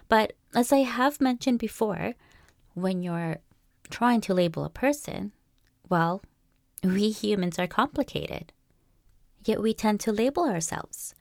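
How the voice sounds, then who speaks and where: A young woman born in Peru, hosting a solo podcast in a calm, measured and reflective way. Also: mid-range voice, dry recording, clean studio sound. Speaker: podcast host. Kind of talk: scripted solo monologue.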